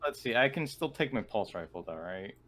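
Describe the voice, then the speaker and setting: A man's voice: on the low side, expressive, brisk, a bit bright. A man chatting casually as a player in an online tabletop game. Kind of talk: casual chat.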